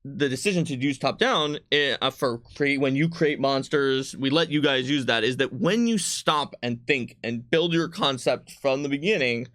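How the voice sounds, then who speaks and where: A man's voice: medium pitch, moderate pace, few pauses, fairly bright tone, no echo. A man co-hosting a casual livestream as a game designer, explaining things conversationally to viewers. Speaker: game designer and stream co-host.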